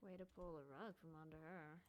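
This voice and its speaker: A woman's voice: sits low, steady tone, fairly quick, a little hiss or hum. A woman giving casual, offhand, slangy commentary as she plays a game. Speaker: game streamer. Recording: loud game music behind. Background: game music.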